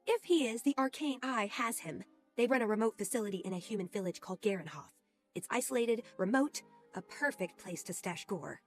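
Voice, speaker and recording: An actress playing a brisk, scheming mentor who gives orders in a fantasy video game. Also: female voice, medium pitch, expressive, faint music behind, natural voice. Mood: urgent.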